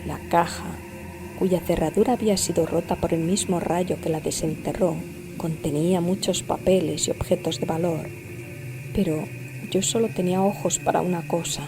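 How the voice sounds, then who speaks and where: Woman, lower-pitched voice, audiobook narrator, gothic horror tale, measured literary reading, clear music behind. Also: steady tone, poor audio.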